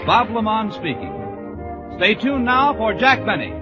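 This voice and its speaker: A very high-pitched American man announces in vintage network radio style. Loud music plays behind him. The recording is dull and old. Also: huge pitch swings, measured pace.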